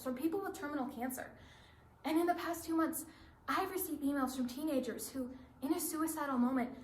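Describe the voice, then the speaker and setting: A woman's voice: high voice, natural voice. A woman performing an earnest, idealistic former cooking show contestant in an emphatic readers theatre monologue that builds in lists. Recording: roomy stage with faint noise.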